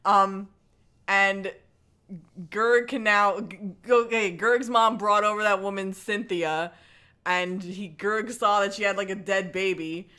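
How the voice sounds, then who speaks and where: Bright-voiced, playful woman livestreamer. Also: medium pitch, fairly even intonation, unhurried, smooth. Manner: playful, irreverent, swears casually; chatty, often breaks off into asides. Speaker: livestream host reading a book aloud. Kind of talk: casual livestream chat mixed with mocking dramatic read-aloud.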